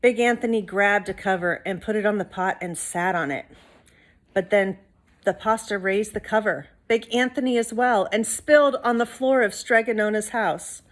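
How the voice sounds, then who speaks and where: A woman's voice: mid-range voice, moderate pace. A woman reading a storybook aloud expressively to young listeners, with casual asides like a teacher.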